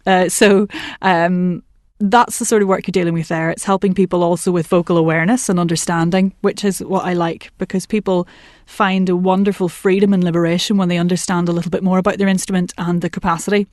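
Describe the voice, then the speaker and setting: Chatty woman voice coach, low-ish voice. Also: fairly quick, dry recording, clean studio sound. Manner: chatty and animated, laughs often, puts on voices for anecdotes. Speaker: voice and accent coach. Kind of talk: conversational podcast interview. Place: work commute between Manchester and Liverpool.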